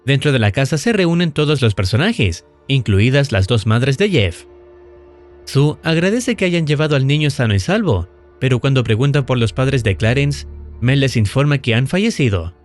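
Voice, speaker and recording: A man reading scripted narration in a measured, explanatory storytelling way, as in a recap and analysis video. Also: medium pitch, expressive. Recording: music under the voice. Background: background music.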